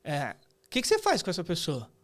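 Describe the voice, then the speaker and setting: A man's voice: on the high side, huge pitch swings, fast. A man in his late thirties with a Brazilian accent, explaining things conversationally as an interview guest, emphatic and colloquial, often tossing in rhetorical questions. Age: late 30s. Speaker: podcast guest, artificial intelligence expert and professor.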